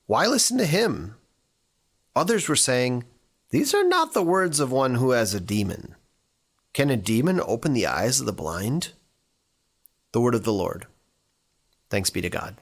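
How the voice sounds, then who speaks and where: A man reading scripture and reflecting on it as a church devotional, speaking earnestly in deliberate, short phrases. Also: medium pitch, very animated, breathy edge. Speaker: church staff member giving a devotional.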